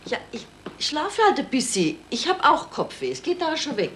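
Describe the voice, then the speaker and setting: An actress playing a brisk, bossy wife and mother with a Bavarian accent in a radio crime play. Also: high voice, big pitch swings. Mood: sleepy, teasing. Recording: 1970s radio play, faint noise.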